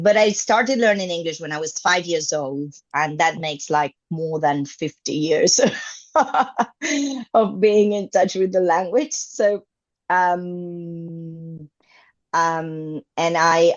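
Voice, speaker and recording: Argentine woman in her fifties, native Spanish speaker, lowish voice, halting interview answers, dull lo-fi sound. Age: 50s. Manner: hesitant, many fillers and restarts. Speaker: guest, English teacher. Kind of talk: conversational interview.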